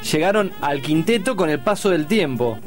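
A man with a Rioplatense accent co-hosts a radio program, his voice notably high. He teases the guests in a playful way. Music plays clearly underneath. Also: animated, normal speed. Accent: Rioplatense Spanish. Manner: teasing, playful. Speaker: radio co-host, journalist. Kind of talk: casual radio chat. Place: radio studio.

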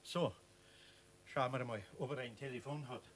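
A man's voice: medium pitch, moderate pace, a bit bright, a little hiss or hum, lightly acted. An actor playing a kindly, upright, middle-aged Bavarian master craftsman, plain-spoken, in a 1970s children's radio play. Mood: curious, concerned.

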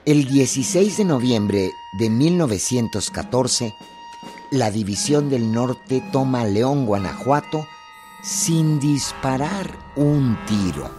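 A man reading scripted historical narration for a Spanish-language radio drama, solemn and deliberate. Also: medium pitch, expressive. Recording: string music behind the narration.

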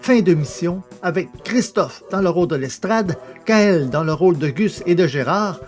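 A man's voice: high voice, lively intonation, moderate pace, darkish tone. A man presenting an audio drama, reading out scripted credits as announcer. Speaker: presenter and author. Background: music.

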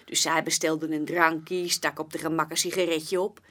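An actress playing a young, plain-spoken barmaid giving witness testimony in a chatty, colloquial way in a radio play. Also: female voice, low voice, level tone, moderate pace, a bit bright. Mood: confiding. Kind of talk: naturalistic radio play dialogue.

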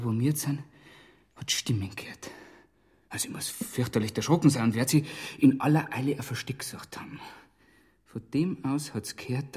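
An actor playing a brisk, middle-aged Bavarian police inspector in a radio crime drama, reasoning aloud and giving terse orders in dialect. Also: male voice, medium pitch, darkish tone, husky, close to an everyday voice. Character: brisk male police inspector in a 1920s Alpine village, reasoning and commanding.

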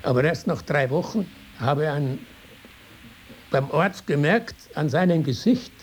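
An older man with a Tyrolean Austrian accent, recalling his life in spontaneous, halting, rambling talk. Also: a little high, lively intonation. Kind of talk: spontaneous oral reminiscence. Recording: hissy archival interview tape.

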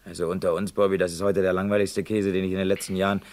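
An actor playing an irritable, broke, wisecracking prison reporter in a 1970s German radio crime play, sarcastic and grumbling. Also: male voice, sits low, flat delivery, rapid-fire, darkish tone, natural voice. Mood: weary, confiding.

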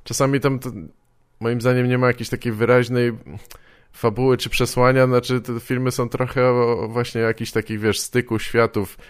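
A man hosting a casual conversation, speaking in a halting, tentative way. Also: medium pitch, level tone, clean tone, dry recording, clean studio sound. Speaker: podcast host, interviewer.

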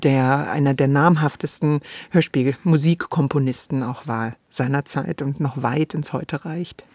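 Lively co-host with dry studio sound. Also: medium pitch, no room sound. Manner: lively, associative, quick asides. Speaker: radio co-host. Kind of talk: casual radio conversation about a radio play.

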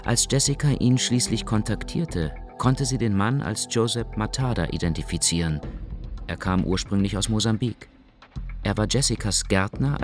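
Male narrator, low voice, calm documentary read, soft music bed.

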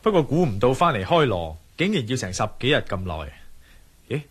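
Adventurer hero for radio drama: Hong Kong Cantonese, friendly yet anxious, husky, animated delivery. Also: male voice, medium pitch, a little fast. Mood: calm. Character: Wisely, determined adventurer man, friendly but anxious.

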